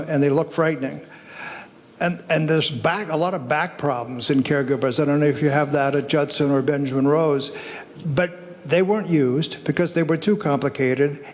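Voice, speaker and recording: A man speaking informally to an audience as an academic panelist, halting and repeating words as he goes. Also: on the high side. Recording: echoey hall with long reverb.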